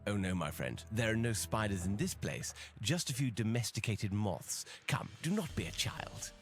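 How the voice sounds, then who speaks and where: An actor playing a confident, wry gentleman detective with a formal, assured manner in a video game. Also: fairly low pitch, animated, faint music behind. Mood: teasing. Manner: formal, brisk, assured, lightly teasing. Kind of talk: video game dialogue acting.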